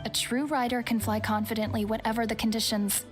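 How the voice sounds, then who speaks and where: An actor playing a modest young balloon operator who speaks softly and hesitantly. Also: male voice, high-pitched, level tone, brisk, natural voice. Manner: soft-spoken, hesitant. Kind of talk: video game voice acting. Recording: game music underneath.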